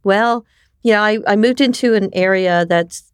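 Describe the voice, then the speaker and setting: A middle-aged woman from New York, whose first language was Japanese, telling her life story in a candid, animated way and often tagging on "right?". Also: mid-range voice, moderate pace, clean tone, no echo, studio quality. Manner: animated, frequent restarts and "right?" tags. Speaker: podcast guest.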